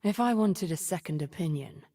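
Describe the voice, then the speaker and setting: An actress voicing a cunning, imperious sorceress in a video game, cold and vengeful, with a breathy, measured, haughty delivery. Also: female voice, lowish voice, lively intonation, warm tone. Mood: haughty, scheming. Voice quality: breathy, often near a whisper. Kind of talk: video game character voice lines.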